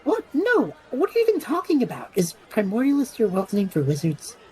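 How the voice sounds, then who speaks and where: A woman's voice: a little high, wildly swooping pitch, fairly quick, mellow, low-quality recording. A woman playing an impulsive, chatty male traveller with a flippant, theatrical manner in an audio drama. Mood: baffled.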